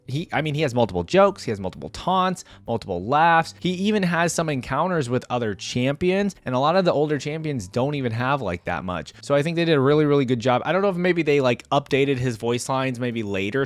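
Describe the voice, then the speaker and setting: A man with an average-pitched voice gives casual commentary as the host of a reaction video. He addresses viewers directly in a conversational, rambling way, working out his thoughts as he goes. The sound is dry, with very little room in it. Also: no echo. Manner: conversational, rambling, thinking aloud. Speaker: YouTube reaction creator and host.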